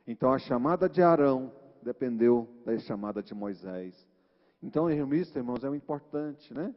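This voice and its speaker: Brazilian preacher in an echoing hall. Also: male voice, a little high. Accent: Brazilian Portuguese. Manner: didactic, conversational, with frequent tag questions. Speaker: church preacher. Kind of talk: informal sermon retelling Bible stories. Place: large reverberant church hall. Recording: muffled, echoing church hall recording.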